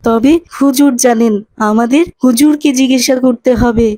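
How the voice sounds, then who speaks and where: A woman voicing an earnest, pious boy who explains things gently in a cartoon. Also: sits high, steady tone, normal speed, no room sound, natural voice.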